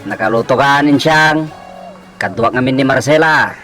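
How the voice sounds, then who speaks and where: A man's voice: medium pitch, normal speed, over music, lightly acted. A man with an Ilocano accent acting a carefree, joking husband in a radio drama. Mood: demanding. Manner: jovial, laughing. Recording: noisy radio drama recording.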